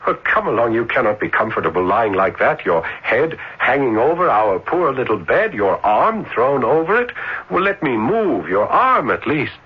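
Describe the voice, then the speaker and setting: An actor playing an ardent, lovestruck young student in florid, earnest old radio drama style. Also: male voice, medium pitch, very animated, breathy edge. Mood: tender coaxing. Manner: earnest, florid, halting declarations. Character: ardent, lovestruck young male student, sentimental and high-strung. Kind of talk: old radio drama acting with first-person narration. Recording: dull, noisy old radio broadcast.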